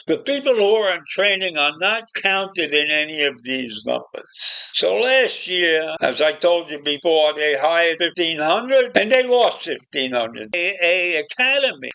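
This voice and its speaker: A man's voice: high-pitched, gravelly, bright, forceful tone, rough recording. A man giving informal, opinionated commentary on the news, partly reading from notes, in a blunt, emphatic manner. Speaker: commentator presenting news issues.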